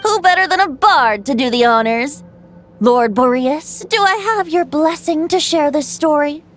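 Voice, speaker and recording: A woman's voice: sits high, expressive, measured pace, soft background music. A woman playing a playful, youthful bard in a video game, telling tales in a lilting, theatrical, poetic way. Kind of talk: video game voice acting, storytelling.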